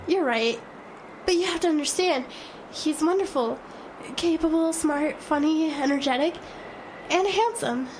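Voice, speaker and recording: Exhausted, anxious woman, very high-pitched. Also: a little hiss or hum, low-quality recording. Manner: plaintive, halting. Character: exhausted, anxious, self-doubting woman lost in a blizzard. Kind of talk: audio drama acting.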